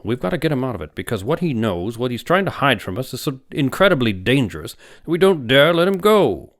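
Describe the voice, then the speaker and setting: A man's voice: very animated, brisk. A man voicing a huge, domineering older doctor in an audiobook, heavy-voiced, emphatic and insistent. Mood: angry, urgent. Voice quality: heavy, booming. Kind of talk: audiobook character dialogue.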